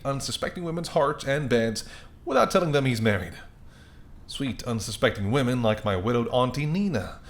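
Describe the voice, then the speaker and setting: A man's voice: medium pitch, close to an everyday voice. A man playing an apologetic, wry and courteous male mafia boss in an audio roleplay, speaking conversationally and sardonically straight to the listener. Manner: conversational, sardonic, exasperated, softening into polite charm.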